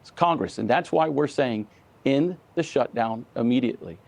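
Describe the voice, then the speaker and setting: A man with a mid-pitched, strongly creaky voice answers questions in a news interview. He sounds like an earnest spokesman, measured in delivery and emphatic on his points. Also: animated. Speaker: union president, interview guest.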